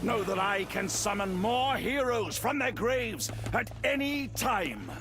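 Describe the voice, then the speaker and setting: A man's voice: sits high, expressive, takes it slowly. An actor playing a zealous, self-righteous paladin lord in a video game, a vengeful father with pompous, theatrical oratory. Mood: rousing, commanding. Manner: pompous, grandiose battle oratory. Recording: loud music under the voice.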